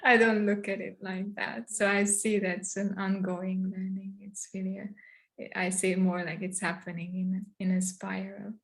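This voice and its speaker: A woman with a Hungarian accent, a native Hungarian speaker, speaking as an interview guest over a video call, soft, thoughtful and halting. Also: mid-range voice, flat delivery. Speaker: guest, trauma counselor. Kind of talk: reflective interview talk.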